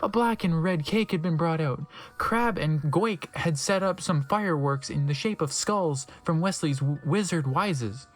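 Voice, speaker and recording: A man's voice: a little high, a little slow, few pauses, faint music behind. A man doing a comedic dramatic reading as narrator, mock-serious and breaking off into incredulous asides.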